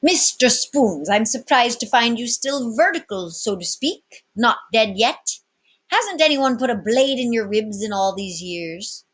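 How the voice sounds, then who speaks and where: A commanding storybook sea captain, acted out by a woman, pitched up and projected. He is formal and brisk, with a wry edge to his orders. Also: expressive, fairly bright tone, husky, full character voice. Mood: sardonic.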